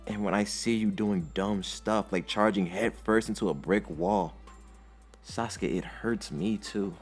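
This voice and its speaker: A man's voice: lowish voice, a little slow, slightly rough. A determined young male ninja hero, acted by a man telling a story. He sounds earnest and heartfelt, speaking with emphasis over faint music. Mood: tender.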